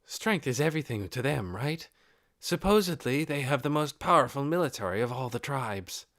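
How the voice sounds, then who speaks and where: A man's voice: mid-range voice, expressive, normal speed, a bit bright, no echo, clean studio sound, pitched above the performer's own voice, close to an everyday voice. A man voicing a young female lizardfolk chief for an audiobook, polite, earnest and cheerful in manner. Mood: curious. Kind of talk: audiobook character acting.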